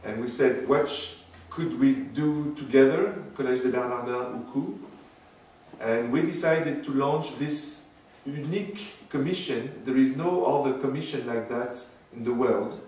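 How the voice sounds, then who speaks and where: A man giving a public lecture as guest speaker, speaking haltingly with many fillers and restarts. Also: medium pitch, darkish tone. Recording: roomy, distant mic with faint noise.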